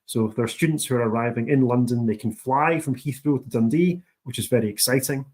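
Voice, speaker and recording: Scottish guy hosting a uni info session, husky voice, chirpy and a bit jokey. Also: medium pitch, quick pace, darkish tone. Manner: upbeat and promotional, with dry jokes. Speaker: international officer and host. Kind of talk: presenting a recruitment training session.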